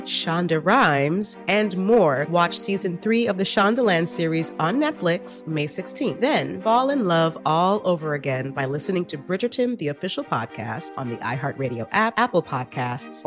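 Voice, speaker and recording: A woman host reading a podcast promo in an eager, promotional tone. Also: fairly low pitch. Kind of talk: scripted podcast ad read.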